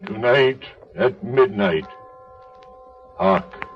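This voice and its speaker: An actor playing an aged, solemn spirit with a grave, portentous manner in an old-time radio drama. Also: male voice, fairly low pitch, expressive, extremely slow. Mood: solemn farewell. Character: solemn, fading aged male Christmas spirit. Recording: dull old radio recording with music.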